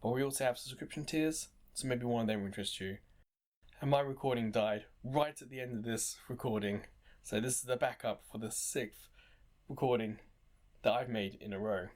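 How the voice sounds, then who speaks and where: A man's voice: mid-range voice, moderate pace. A man hosting a video game playthrough, commenting casually in a musing, conversational way. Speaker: gaming YouTuber host. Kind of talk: casual let's-play commentary.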